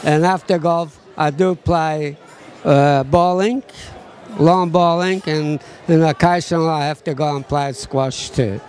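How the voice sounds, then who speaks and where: A man's voice: on the high side, steady tone, a little slow, darkish tone. A man, giving short, plain answers in an outdoor interview. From Poland. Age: 80s.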